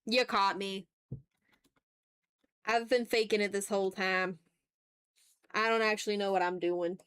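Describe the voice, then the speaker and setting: Female art streamer from the American South, mid-pitched, loose chatty delivery with playful exasperation, very dry recording. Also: fairly even intonation, a little slow, a bit bright, clear, resonant tone, no room sound. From Southern United States. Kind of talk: casual livestream chat while drawing.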